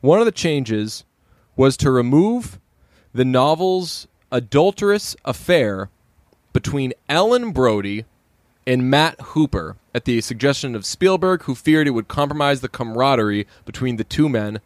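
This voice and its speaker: A man's voice: mid-range voice, sing-song, measured pace, no room sound. Rambling male podcast co-host, casual chat, dry close sound. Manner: rambling, full of fillers, puts on silly voices in quotes.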